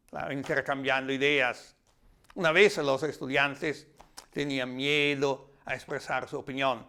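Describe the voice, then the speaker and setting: A man with an Italian accent giving a reflective, explanatory talk in a language that is not his native one, sometimes halting as he searches for words. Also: on the high side, pushed and bright, clean tone. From Italy. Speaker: lecturer.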